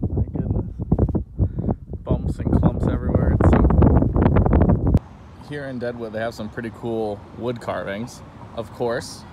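A young man talking casually and enthusiastically while he records a road-trip vlog. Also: medium pitch. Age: young adult. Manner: enthusiastic, easygoing. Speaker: travel vlogger. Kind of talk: casual travel vlog with voice-over. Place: outdoors, windy open land. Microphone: phone camera at arm's length. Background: wind.

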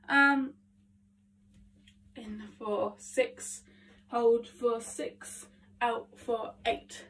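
A young woman vlogging her vocal warm-up at home, chatty and full of ums, her voice nasal and congested from hay fever. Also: a little high. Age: young adult. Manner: chatty, self-talking, lots of ums. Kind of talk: casual vocal warm-up vlog. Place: small attic bedroom at home. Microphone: phone camera across the room. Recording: faint room noise, distant from phone.

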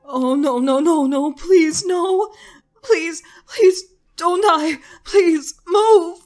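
A woman's voice: extremely high pitch, extremely slow, a little mellow, faint music behind, full character voice. A woman playing a fragile, distraught younger gem ruler in a cartoon comic dub, pleading breathlessly. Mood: grief-stricken pleading. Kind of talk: animated comic dub acting.

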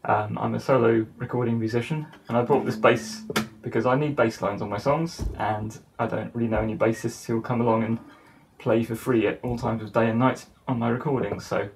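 A man's voice: mid-range voice, fairly even intonation, brisk, a little hiss or hum, rough recording. A middle-aged man giving a casual instructional talk to camera as a musician in a small home studio. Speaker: musician and songwriter presenting.

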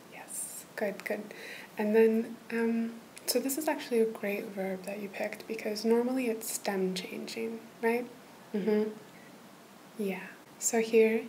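A woman in a whispered tutoring roleplay, patiently walking a listener through a lesson in a soft, encouraging whisper. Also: a little high, vocal fry, slight background noise, low-quality recording. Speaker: language tutor.

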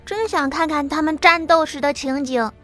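A woman voicing a weary young psychic who speaks quietly and thoughtfully, in an audiobook with soft music underneath. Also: high voice, moderate pace, voice pushed up.

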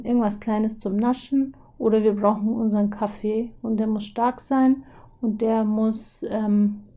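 Young woman, hoarse from a cold, kinda high voice but mellow, chatty explainer w lots of ähms. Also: muffled recording. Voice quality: husky. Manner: chatty, many filler pauses. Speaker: video host. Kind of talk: casual explanatory talk over drawings.

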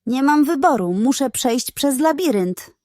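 Woman voicing an earnest little kitten hero, high-pitched. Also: lively intonation, a little slow, a little mellow, dry recording, studio quality. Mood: determined. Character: small brave male kitten hero, earnest and honest. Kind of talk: children's storybook character voice.

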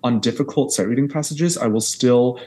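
A man's voice: mid-range voice, a little fast, dark tone. A man in his thirties from Texas, a guest choral conductor talking earnestly in a podcast interview over a video call from a small bedroom. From Houston area, Texas. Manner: earnest, reflective, speaks in long restarted sentences. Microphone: earbuds on a video call.

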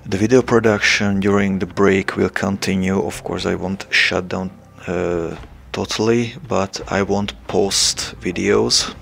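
A young man from Hungary with a Hungarian accent, making a hesitant, casual announcement to his channel's viewers, pausing often with fillers. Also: low-pitched, steady tone, vocal fry, fairly bright tone. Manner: hesitant, many filler pauses. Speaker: channel creator.

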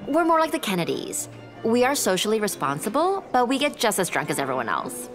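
Privileged businesswoman, wry, blunt, animated intonation, podcast drama, faint music behind. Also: on the high side, brisk. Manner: dry, blunt. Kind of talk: romcom podcast audio drama acting.

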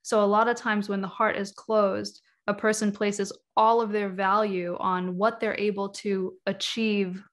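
A woman's voice: medium pitch, level tone, a little slow, few pauses. A woman in her thirties, a guest author, explaining ideas at length in an interview over a video call from a room at home, with frequent "like" and "um". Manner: thoughtful, explains at length with many "like" and "um" fillers. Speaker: guest author on energy healing. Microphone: webcam on a video call.

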